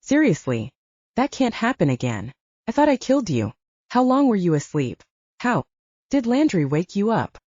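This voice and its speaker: Narrator as anxious young woman heroine, earnest n questioning, pitch moves a lot, slightly muffled audiobook. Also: big pitch swings, moderate pace, darkish tone, no room sound. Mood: worried.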